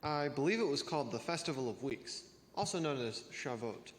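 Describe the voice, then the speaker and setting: A man playing a bookish, measured know-it-all youth in a church reader's theater. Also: mid-range voice, lively intonation, close to an everyday voice. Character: bookish youth, a self-declared trivia champion. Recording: noisy reverberant hall.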